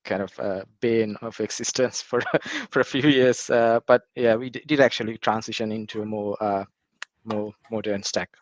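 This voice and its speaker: Sri man, low voice, dry sound. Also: fairly even intonation, normal speed, no room sound. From Sri Lanka. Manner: hesitant, many fillers and restarts. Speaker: guest, cloud architect. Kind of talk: casual interview chat. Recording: dry, slightly muffled.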